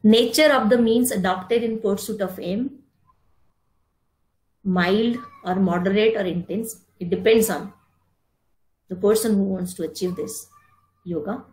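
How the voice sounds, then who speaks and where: A middle-aged woman with an Indian accent giving an instructive talk in short, deliberate, emphatic phrases, explaining terms as she goes. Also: medium pitch, darkish tone. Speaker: speaker giving a yoga philosophy talk. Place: indoor room, online meeting. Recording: roomy, echoing virtual meeting audio.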